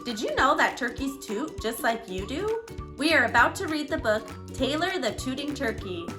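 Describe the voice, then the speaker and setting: A woman's voice: high-pitched, very animated, moderate pace, over music. A woman hosting a children's read-aloud channel, with a bubbly, sing-song, upbeat manner. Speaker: channel host. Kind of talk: cheerful read-aloud intro for kids.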